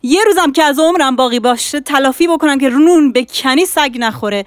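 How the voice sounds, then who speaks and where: A woman voicing a quarrelsome, sharp-tongued married woman who scolds and shouts insults. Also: sits high, no room sound, clean studio sound, lightly acted. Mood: indignant. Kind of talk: audiobook character acting.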